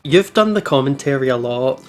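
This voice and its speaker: A man's voice: mid-range voice, lively intonation, a little fast, noisy background. A man hosting a let's play, casually commenting and reading visual novel text aloud in an informal, jokey way. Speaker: video game let's play host.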